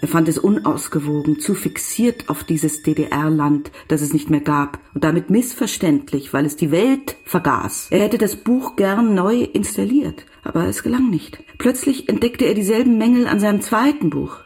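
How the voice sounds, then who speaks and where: Woman remembering a troubled friend, radio drama, earnest and precise, kinda low voice. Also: animated, moderate pace, few pauses, a little mellow, soft background music. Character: woman confidante earnestly recalling a troubled writer to a visitor. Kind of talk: literary radio drama monologue.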